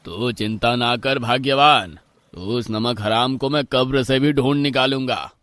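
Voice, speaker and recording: A man's voice: mid-range voice, unhurried, fairly bright tone, no room sound, studio quality, natural voice. A man playing a suspicious rich village master, scolding and domineering, in a horror story. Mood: accusing, angry. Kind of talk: Hindi horror story character dialogue.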